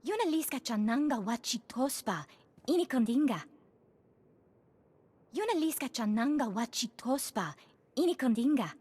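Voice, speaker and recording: A woman playing an alien in a video game, speaking an invented tongue with a husky voice. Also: a little high, big pitch swings, normal speed, pauses often, a little mellow. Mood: animated. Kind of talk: video game alien dialogue in gibberish language.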